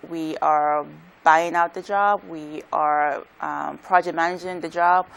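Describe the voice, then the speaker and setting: A woman from Ghana, a contractor, answering interview questions softly with frequent hesitant "um"s. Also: fairly low pitch. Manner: soft-spoken, hesitant, frequent "um"s. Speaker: contractor, guest.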